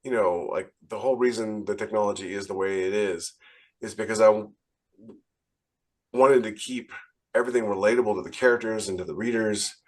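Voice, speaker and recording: A man's voice: lowish voice, fairly quick. A man who is a podcast host and military veteran, talking casually in a rambling way and often restarting his phrases. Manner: rambling, repeats phrase starts. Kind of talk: casual podcast conversation with anecdotes.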